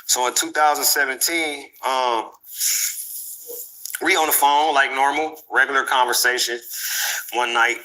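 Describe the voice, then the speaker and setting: Bright, forceful man retelling a conversation. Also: medium pitch, fairly even intonation, a little slow, long pauses, rough recording. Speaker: interview guest. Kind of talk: casual storytelling with slang and quoted dialogue.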